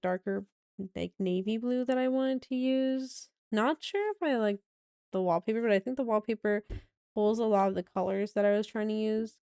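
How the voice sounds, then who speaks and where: A young woman with a raspy voice, casually narrating a tour of a house she built in a game, rambling with many fillers. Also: mid-range voice, mellow, no echo, clean studio sound.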